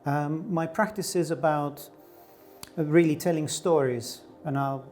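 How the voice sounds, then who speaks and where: A man's voice: a little high, a little slow, a little mellow, faint music behind. A middle-aged man from Greece, an artist giving thoughtful, searching answers in an interview, with frequent fillers and restarts. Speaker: artist guest.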